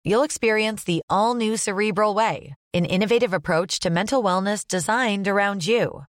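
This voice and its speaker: A woman's voice: mid-range voice, normal speed, dry recording, studio quality. An American woman delivering a scripted advertisement as an announcer. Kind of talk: scripted ad read.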